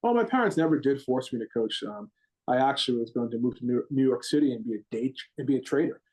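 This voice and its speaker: A man's voice: mid-range voice, expressive, quick pace, a little mellow, low-quality recording. A middle-aged man with a Rhode Island accent, a coach answering podcast interview questions in a rambling way, with many repeated words and restarts. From Rhode Island, USA. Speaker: gymnastics head coach, guest.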